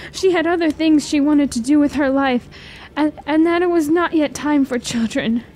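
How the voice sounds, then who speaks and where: A woman playing a gentle, troubled girl in a fantasy radio drama, speaking in an earnest, plaintive way. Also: sits high, moderate pace, natural voice. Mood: wistful, sad. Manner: earnest, plaintive, simple formal phrasing. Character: gentle, troubled girl, an unwanted only child's sister.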